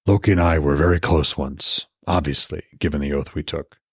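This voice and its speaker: A man voicing a weary, brooding Norse god in a fantasy audiobook, speaking in a grave, regretful, measured way. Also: a bass voice, fairly even intonation, breathy edge, no echo, clean studio sound, voice pushed down, full character voice. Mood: grave, guarded.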